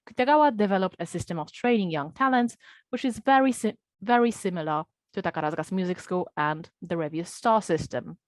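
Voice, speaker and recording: A woman's voice: mid-range voice, no room sound. Woman presenting a scripted talk, dry sound. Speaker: presenter at an academic panel.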